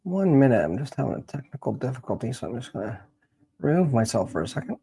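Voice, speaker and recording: A Canadian man hosting a casual livestream, chatting to viewers in a rambling, halting way as he thinks aloud, with a gravelly, husky voice. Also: medium pitch, sing-song, dark tone. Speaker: livestream host, genealogist.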